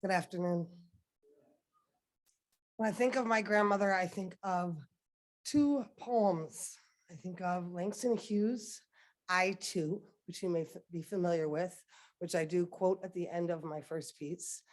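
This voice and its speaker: A woman's voice: lowish voice, a little slow. A woman at a ceremony podium, performing a spoken word poem in a rhythmic style with a repeated refrain that builds in intensity. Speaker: poet reading at a dedication ceremony.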